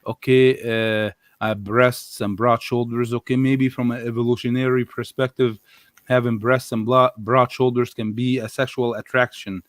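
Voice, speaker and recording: A man's voice: mid-range voice, level tone, normal speed, no echo. A man in a casual, argumentative discussion as a guest commentator, often repeating words and rephrasing as he builds his point. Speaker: guest commentator on a religious live show.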